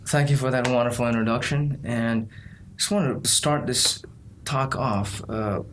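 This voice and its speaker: Host: a young man with a mid-range voice, eager and affirming, recorded with clear background noise. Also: mid-range voice, unhurried, fairly bright tone. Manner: eager, affirms often with "absolutely". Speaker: talk show host. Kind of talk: casual interview hosting. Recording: noisy recording.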